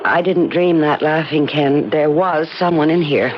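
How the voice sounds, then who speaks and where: An actress playing a frightened, insistent wife in a 1970s radio drama, speaking haltingly with anxious stammers. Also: female voice, deep voice. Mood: subdued, worried. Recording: noisy, muffled old radio broadcast recording.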